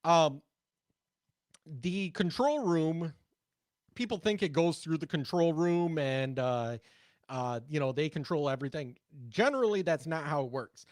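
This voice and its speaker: A man with a fairly high voice co-hosts a casual talk show. He speaks in loose, conversational explanations and stories, recorded dry with little room sound. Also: lively intonation, measured pace, no room sound. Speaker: talk radio co-host, former TV news worker. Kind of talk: casual chat and storytelling.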